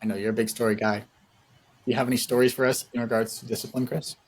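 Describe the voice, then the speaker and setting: A man's voice: mid-range voice, level tone, fast talker. A man co-hosting a podcast, talking in a casual, encouraging, motivational way. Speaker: podcast co-host.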